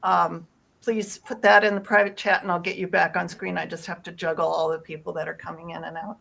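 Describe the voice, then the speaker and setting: A woman's voice: lowish voice, flat delivery, a little fast, vocal fry, fairly bright tone. A woman moderating a livestream, giving casual housekeeping instructions. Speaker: stream moderator.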